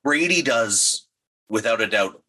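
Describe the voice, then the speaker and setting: A man's voice: medium pitch, expressive, measured pace, bright, forceful tone. A man speaking as an interview guest, chatting enthusiastically as a writer and creator about his work.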